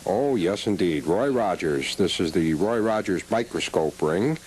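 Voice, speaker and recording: A man talking as a guest collector in a casual interview, explaining things in a measured way with dry wit. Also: sits low, expressive, a little mellow, low-quality recording. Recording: faint hum, 1980s cable TV audio.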